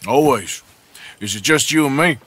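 Actor as a forceful, wry outlaw gunslinger. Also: male voice, mid-range voice, expressive, normal speed, slight background noise, natural voice. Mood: dry, blunt. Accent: American. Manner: dry, laconic, self-deprecating. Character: gruff, wry, world-weary male outlaw gunslinger. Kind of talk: cinematic video game acting.

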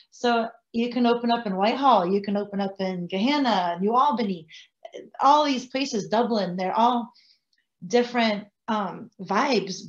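Casting: woman in her forties, non-native English speaker, lively restaurateur, rambling, slightly dull call audio. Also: mid-range voice. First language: French. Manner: animated, gestures, rambling asides. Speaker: bakery and restaurant owner. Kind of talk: casual panel talk. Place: home room, indoors. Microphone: video call.

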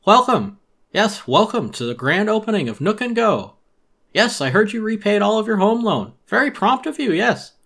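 High, oily shopkeeper raccoon. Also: male voice, sing-song, moderate pace, vocal fry, a bit bright, heavily acted. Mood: smarmy, welcoming. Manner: unctuous, wheedling, tacked-on questioning tags. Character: pushy, oily shopkeeper raccoon, male, falsely friendly salesman. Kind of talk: dramatic storybook reading of a video game character.

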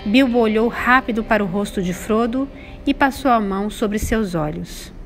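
A woman's voice: fairly high pitch, normal speed, faint music behind. A woman with a Brazilian accent giving a scripted storybook narration.

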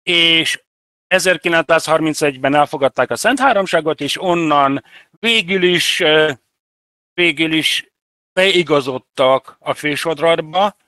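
An older man, an interview guest, explaining things conversationally in measured, separate phrases. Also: on the high side, sharp tone, no echo. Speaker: interview guest, church representative.

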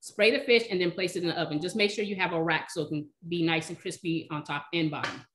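A woman in her thirties answering cooking questions in a relaxed, chatty way on a video call from her home kitchen. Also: lowish voice, quick pace. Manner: relaxed, chatty, explains by eye. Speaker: cooking demonstrator, recipe blogger. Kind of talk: casual live Q&A. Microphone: video call, webcam.

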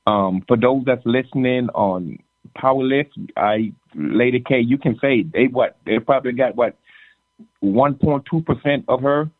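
A man's voice: medium pitch, unhurried, no room sound. Joking man on a thin phone-like line. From Jamaica. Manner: joking, teasing, repeats words. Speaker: podcast co-host. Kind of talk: casual chat.

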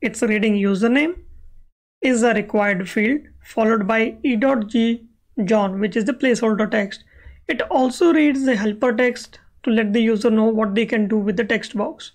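A woman's voice: a little high, moderate pace. A woman explaining code step by step as the instructor in a screencast tutorial. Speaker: web accessibility instructor.

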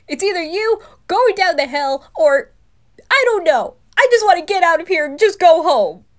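A woman's voice: very high-pitched, animated, normal speed, voice pushed up. A woman voicing nervous, skeptical young anime lads, good-hearted and casual, with a hesitant, exasperated manner. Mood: alarmed, exasperated. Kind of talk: anime fan roleplay ASMR.

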